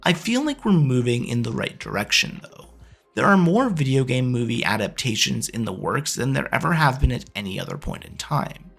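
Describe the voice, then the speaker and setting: A man's voice: medium pitch, sing-song, normal speed, gravelly, a bit bright, breathy edge. A man in his thirties presenting scripted opinion commentary as a host, often posing questions and answering them himself. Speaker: video essayist and host.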